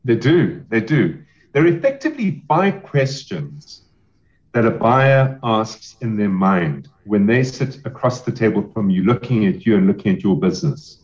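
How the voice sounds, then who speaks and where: A man advising on selling a business, speaking in a measured, explanatory way with emphatic repetition. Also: lowish voice, sing-song, highs cut off. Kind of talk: podcast interview answers.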